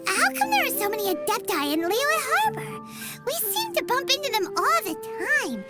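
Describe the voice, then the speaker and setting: Little cheeky game companion creature: piercingly high squeak, childlike, bouncy intonation, inquisitive. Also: female voice, wildly swooping pitch, moderate pace, loud music behind the voice, heavily acted. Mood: curious, puzzled. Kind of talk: scripted video game acting.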